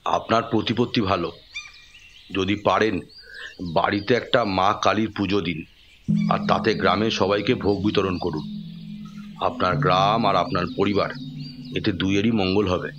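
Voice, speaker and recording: A man acting as a calm, authoritative occultist in an audio drama, giving measured advice. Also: low voice, slight background noise. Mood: calm, assuring. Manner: measured, advising. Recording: muffled audio with music underneath.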